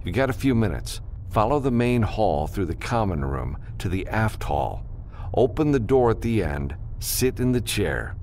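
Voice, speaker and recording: A man voicing a gruff, capable freelance spaceship pilot who gives terse, casual instructions in an audiobook. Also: fairly low pitch, big pitch swings, measured pace, a little mellow, slight background noise, voice pushed up. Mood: casual, reassuring. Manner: terse, casual, giving orders. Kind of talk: audiobook character dialogue.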